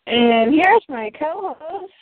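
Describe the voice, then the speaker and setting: A woman's voice: sits high, expressive, takes it slowly, no room sound. A woman hosting a casual talk show, chatty and easily sidetracked, a little tired. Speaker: podcast host. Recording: tinny phone-like line.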